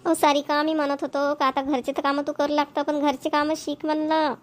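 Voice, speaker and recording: A woman's voice: high voice, level tone, fairly quick, lightly acted. A woman voicing a caring, persuasive middle-aged village mother, with a coaxing, motherly manner.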